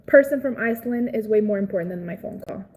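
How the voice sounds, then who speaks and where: A young woman from Cuba, raised in Florida, chatting casually on a live stream, greeting viewers by name and reacting excitedly. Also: medium pitch, quick pace, a little hiss or hum, low-quality recording. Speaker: livestream host.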